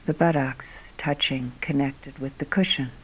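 A woman meditation teacher guiding a meditation calmly and unhurriedly, in short phrases with long pauses, her voice soft and breathy at phrase ends. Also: rumbling low, slight background noise, poor audio. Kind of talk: guided meditation.

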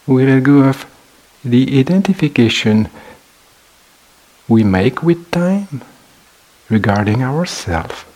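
A man guiding a meditation as a teacher, speaking in short, measured phrases with pauses between them. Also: medium pitch, expressive, warm tone, poor audio. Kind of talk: guided meditation talk.

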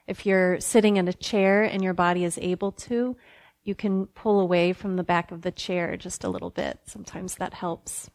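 A woman leading a guided meditation as a teacher, speaking gently and unhurriedly with pauses. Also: mid-range voice, no room sound.

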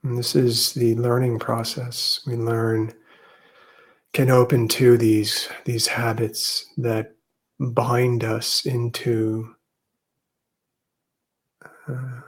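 A man leading a guided meditation as a teacher, speaking gently and unhurriedly with long pauses. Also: medium pitch, flat delivery, breathy edge.

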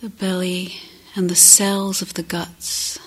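Woman, meditation teacher, husky and breathy, near-whispered, lowish pitch, gentle guidance, long pauses. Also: level tone. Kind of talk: guided meditation.

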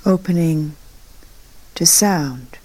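A woman leading a guided meditation as a teacher, speaking calmly in short, measured phrases with long pauses. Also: deep voice, poor audio.

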